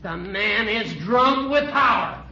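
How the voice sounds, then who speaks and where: An American man playing a crotchety, indignant older judge in 1940s radio comedy, with an irascible, accusing manner and a creaky voice. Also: sits high, lively intonation, takes it slowly, pushed and bright, heavily acted. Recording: dull, noisy 1940s radio broadcast.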